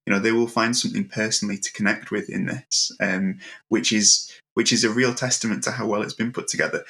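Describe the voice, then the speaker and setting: A man hosting a conversational interview, speaking politely and choosing his words with some halting. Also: on the low side, fairly even intonation, gravelly, fairly bright tone.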